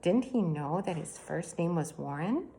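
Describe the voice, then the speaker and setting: A woman reading a children's book aloud in a plain, even storytelling manner. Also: fairly low pitch, unhurried, gravelly, rough recording. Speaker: reader.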